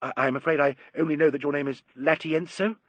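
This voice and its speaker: A man's voice: fairly high pitch, steady tone, fast, dry recording, natural voice. A man voicing a polite, candid, slightly tired woman guest in an audiobook, speaking with some hesitation. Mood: curious, taken aback. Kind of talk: audiobook character dialogue.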